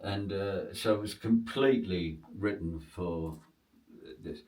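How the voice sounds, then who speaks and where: An older man, a pantomime dame and writer, reminiscing in a rambling, halting, sentimental way during a casual chat. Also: low-pitched, darkish tone.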